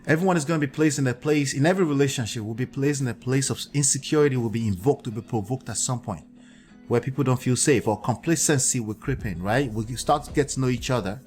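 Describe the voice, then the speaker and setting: A man's voice: medium pitch, fast talker, a little mellow. A man with a Nigerian accent speaks in a middle register as he hosts his own livestream. He lectures in an instructive tone and keeps checking in with his listeners. Faint music runs underneath. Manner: lecturing, checks in with "okay?" and "right?". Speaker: livestream host. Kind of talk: livestream commentary.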